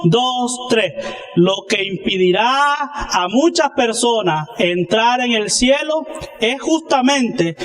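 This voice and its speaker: A man's voice: very high voice, a bit bright. A male pastor preaching a sermon to a congregation, emphatic and driven by rhetorical questions and answers.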